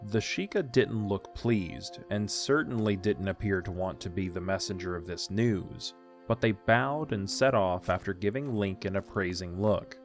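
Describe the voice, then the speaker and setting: Male narrator for fantasy audio drama: lowish voice, scripted storytelling, soft music bed underneath. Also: a little slow.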